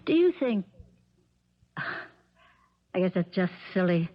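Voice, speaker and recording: A woman's voice: on the low side, sing-song, slow. An actress playing a nervous, polite middle-aged stepmother with a tentative manner in a 1970s radio drama. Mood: uneasy. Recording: muffled old radio broadcast.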